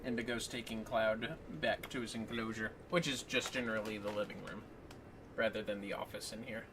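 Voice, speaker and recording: A man's voice: on the low side, level tone, fairly quick, noisy background. A man chatting casually on a livestream and reading game text aloud with playful, exaggerated delivery. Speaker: livestream co-host. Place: home office. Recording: distant mic with music behind. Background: music.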